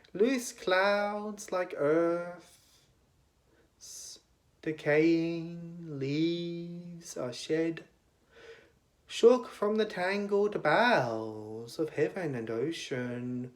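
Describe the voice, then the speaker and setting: A man giving a dramatic recitation of poetry in a declamatory manner, with long pauses between phrases. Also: on the high side. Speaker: poetry reader.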